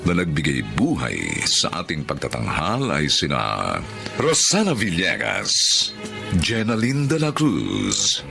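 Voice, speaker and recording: A Filipino man narrates a radio drama in a theatrical style. His deep voice swings dramatically up and down at an unhurried pace. Music plays clearly underneath. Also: wildly swooping pitch, pushed and bright.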